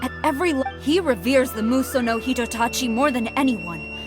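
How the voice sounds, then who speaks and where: A woman's voice: high-pitched, a little fast, close to an everyday voice. A woman playing a proud, stern young military general in a video game, speaking in a commanding, formal and blunt way. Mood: indignant. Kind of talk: dramatic video game acting. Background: music.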